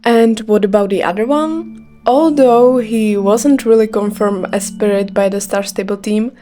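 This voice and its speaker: A woman's voice: medium pitch, unhurried, dark tone, faint music behind. A young Czech woman with a light Czech accent, reading a scripted gaming video with care. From Czech Republic. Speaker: gaming YouTuber.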